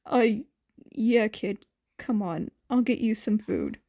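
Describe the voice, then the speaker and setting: A woman voicing a gruff, weary man who is reluctantly kind, in a fan fiction audio story. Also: fairly high pitch, animated, slow pace, dark tone, no room sound, studio quality. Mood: resigned.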